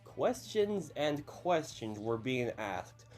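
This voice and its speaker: A man's voice: mid-range voice, very animated, slow pace, darkish tone, faint music behind. A man in his early twenties with a youthful-sounding voice, talking casually as a video host with chatty, apologetic asides. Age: early 20s. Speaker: YouTuber host.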